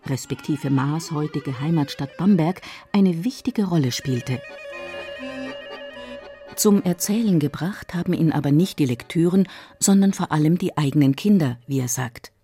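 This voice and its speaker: A woman's voice: lowish voice, warm tone. A woman reading scripted narration for a radio feature.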